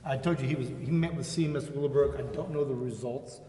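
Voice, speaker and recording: A man chairing a city council meeting informally, with a halting way of talking. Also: medium pitch, warm tone. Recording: echoey chamber with background noise.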